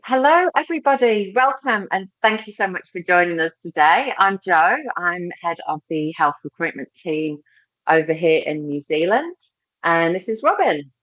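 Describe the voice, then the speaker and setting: A woman's voice: fairly low pitch, lively intonation, moderate pace, a bit bright, no room sound. A woman with a slight English accent, hosting a recruitment webinar in a chatty, friendly way with many ums, speaking from a laptop on a video call. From England. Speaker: recruitment team head, webinar host. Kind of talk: casual webinar presentation. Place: holiday house by the beach. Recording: dry, slightly dull video-call audio.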